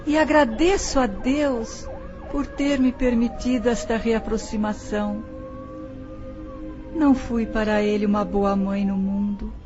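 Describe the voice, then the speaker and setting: A woman's voice: a little high, fairly even intonation, measured pace, close to an everyday voice. An actress playing the humble, sorrowful spirit of a dead mother, making a solemn, tearfully moved confession in an audio drama. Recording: dull lo-fi drama track with music.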